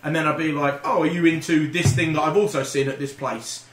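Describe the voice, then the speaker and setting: A man's voice: fairly high pitch, fairly even intonation, a little fast, distant mic. A man telling a rambling, self-deprecating anecdote in casual, sweary banter with friends. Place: indoor room with several people. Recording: echoey room, off the mic.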